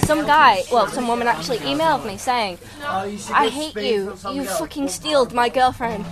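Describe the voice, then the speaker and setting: A woman's voice: fairly high pitch, moderate pace, heavy background noise. A young woman chatting casually with friends and telling anecdotes. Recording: loud music over group chatter. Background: loud music and friends talking.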